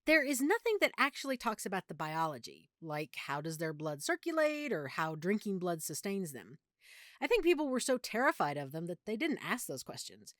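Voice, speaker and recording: Lively, intimate nerdy girlfriend, acted by a woman. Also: on the low side, normal speed, no echo, clean studio sound. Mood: animated, opinionated. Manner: playful, fussy, expressive, explanatory. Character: affectionate, nerdy, opinionated girlfriend, vampire lore buff. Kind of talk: audio roleplay, intimate one-sided conversation.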